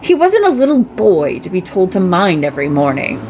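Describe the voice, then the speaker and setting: Lower-pitched woman reading a story aloud. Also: wildly swooping pitch, normal speed, noisy background. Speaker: amateur reader.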